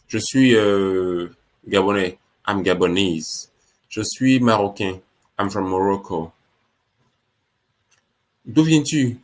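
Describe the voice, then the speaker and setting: Slow, low-voiced male English teacher. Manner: slow, repeats each phrase in French and English. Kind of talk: French-language English lesson.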